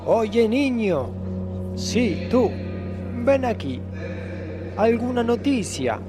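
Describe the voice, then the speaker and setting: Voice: medium pitch, big pitch swings, drawn-out words. A performer voicing a grumbling, irritable male composer in a theatrical comedic audio drama. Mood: irritated. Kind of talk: amateur comedic audio drama monologue and dialogue. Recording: voice over music bed.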